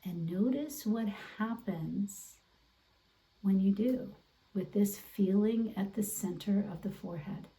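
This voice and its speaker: A woman instructor leading a guided meditation in a gentle, deliberate, encouraging way over an online video class. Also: medium pitch, poor audio. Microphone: away from the mouth, video call.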